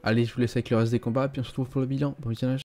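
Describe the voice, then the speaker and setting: Relaxed young man, mellow voice. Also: medium pitch, very fast. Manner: relaxed, chatty, with fillers. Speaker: gaming video host. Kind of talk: casual gameplay commentary. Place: home gaming setup.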